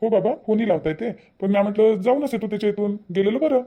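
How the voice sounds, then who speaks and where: A man's voice: high-pitched, fast, lo-fi sound. A man voicing a dutiful grown son in a cartoon, matter-of-fact, with a Vidarbha Marathi accent. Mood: busy. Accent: Vidarbha Marathi (Varhadi). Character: dutiful grown son preparing a family party. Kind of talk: cartoon family drama dialogue.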